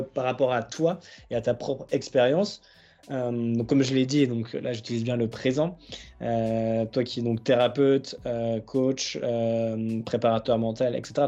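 Measured man hosting an interview. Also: medium pitch, warm tone, highs cut off. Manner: measured, listing examples and options. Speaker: podcast host. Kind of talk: interview questions.

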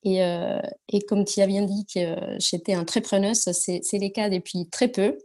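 Brief: woman, 40s, Argentine accent, mellow voice, hesitant, lots of pauses. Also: mid-range voice, steady tone. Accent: Argentine Spanish. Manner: hesitant, many fillers and restarts while searching for French words. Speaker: guest entrepreneur. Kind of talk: casual interview talk.

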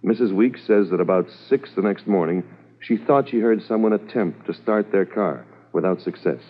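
An actor playing a brisk, authoritative police commissioner in a 1950s radio drama, methodical and official. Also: male voice, on the low side, lightly acted. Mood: businesslike, briefing. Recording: muffled, thin old radio recording.

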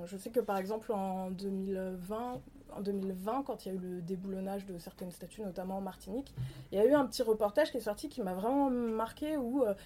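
A woman author talking through her research on a panel, pausing on fillers as she works out her phrasing. Her voice is mellow and warm. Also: mid-range voice, a little hiss or hum. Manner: hesitant, many fillers. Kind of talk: academic panel discussion.

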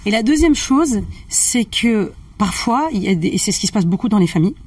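A woman's voice: medium pitch, lively intonation, fairly quick, darkish tone, far from the mic, a little hiss or hum. A woman practitioner explaining family constellations in casual conversation. She checks in often with her listener. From family of Algerian roots.

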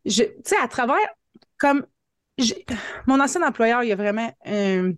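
A woman's voice: on the high side, normal speed, no room sound. Chatty Franco-Ontarian woman, dry close sound. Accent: Franco-Ontarian French. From northern Ontario, Canada. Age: 30s. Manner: colloquial, peppered with English words. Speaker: podcast guest, marketing coach. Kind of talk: casual conversational storytelling.

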